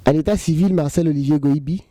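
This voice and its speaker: A man in his thirties, a choreographer, answering interview questions in a relaxed, joking way and saying "voilà" often. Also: on the high side, quick pace, no room sound. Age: 30s.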